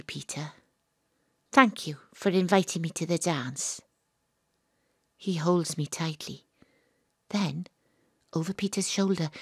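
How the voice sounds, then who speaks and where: A woman's voice: lowish voice, husky, dry recording, studio quality. An actress playing an earnest, emotional teenage girl in an audio drama. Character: earnest teenage girl narrating her ghostly adventure, emotional. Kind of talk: audio drama monologue and dialogue.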